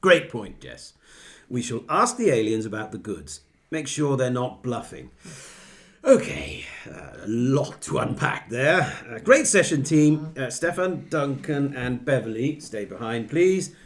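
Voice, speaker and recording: A flippant male politician in a radio satire, with a mid-pitched voice that swings widely. He is pompous and glib, patronising everyone at the table with breezy confidence. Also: big pitch swings, unhurried. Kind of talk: satirical radio play acting.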